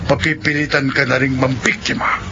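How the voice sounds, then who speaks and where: A man's voice: mid-range voice, flat delivery, normal speed, lightly acted. A man playing an obsessive, lovelorn aswang villain in a Filipino horror radio drama, melodramatic and insistent, with a Filipino accent. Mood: menacing, possessive. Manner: melodramatic, insistent, pleading and gloating. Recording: muffled, noisy radio drama recording.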